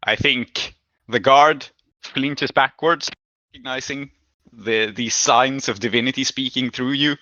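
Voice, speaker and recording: Male game master: conversational, thoughtful improvised narration, forward bright voice, dry and slightly dull recording. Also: mid-range voice, a little slow, dry recording.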